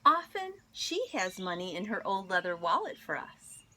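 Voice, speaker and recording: A woman teacher reading a children's story aloud to students, expressive and deliberate, with dramatic pauses. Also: mid-range voice.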